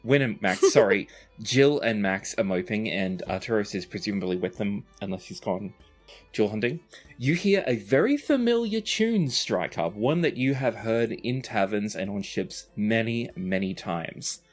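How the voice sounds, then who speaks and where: A man's voice: on the low side, animated, normal speed. A man narrating a tabletop roleplaying game as game master, with music playing behind him. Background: background music.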